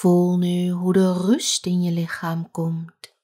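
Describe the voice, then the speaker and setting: A woman leading a guided sleep meditation as a yoga teacher, speaking softly and gently with long pauses. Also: lowish voice, completely flat delivery.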